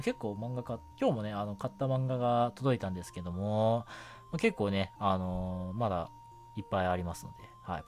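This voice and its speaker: A young man hosting a casual solo radio chat in a rambling, giggly, self-deprecating way. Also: on the low side. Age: young adult. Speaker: radio show host. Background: background music.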